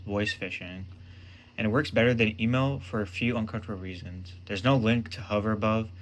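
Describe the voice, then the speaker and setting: Male explainer presenter, lowish pitch, scripted delivery in short emphatic phrases, faint background noise. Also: fairly bright tone.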